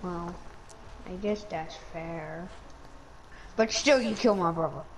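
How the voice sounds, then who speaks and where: Woman playing a slow-speaking goat god of lightning, drawn-out lines, clear game sounds behind, machinima. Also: fairly low pitch, background noise. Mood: defiant. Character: goat who calls himself a lightning god. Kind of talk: amateur game machinima voice acting.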